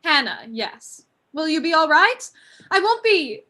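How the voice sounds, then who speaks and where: A woman's voice: sits high, sing-song, a little slow, sharp tone, full character voice. A young woman acting a chatty, breezy daughter of a country house in a staged play reading. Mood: chatty, playful. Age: young adult. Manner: breezy, gossipy.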